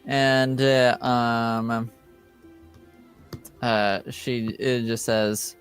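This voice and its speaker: A man runs a tabletop game with a clean, resonant voice of medium pitch. He narrates casually and very slowly, pausing deliberately. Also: fairly even intonation, clear, resonant tone, faint music behind. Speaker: game master.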